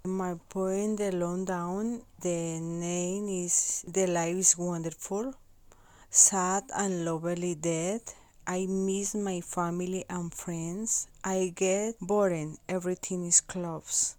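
A woman from Colombia, a student reading her own poem slowly and hesitantly. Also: lowish voice, fairly even intonation, mellow, dry recording. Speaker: language student.

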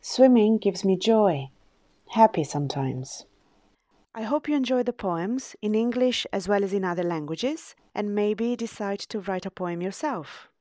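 Woman teacher, emphatic poem reading, warm voice, dry sound. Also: mid-range voice, lively intonation, measured pace, no room sound. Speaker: ESOL teacher. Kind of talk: reading her poem and closing the podcast.

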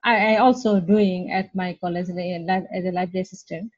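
A woman from Bangladesh, an English learner, introducing herself haltingly with many fillers. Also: lowish voice, fairly even intonation, darkish tone, no room sound. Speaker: ESOL student. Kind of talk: casual self-introduction. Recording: dull lo-fi recording.

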